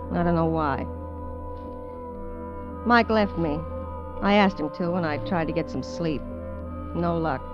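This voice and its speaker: Casting: woman playing a wary, streetwise American adventuress narrating a radio thriller, plain slangy talk, dull vintage sound with loud music. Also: medium pitch, lightly acted. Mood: brooding. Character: streetwise, guarded woman adventurer narrating her sea tale. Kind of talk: 1940s-50s American radio drama acting and first-person narration.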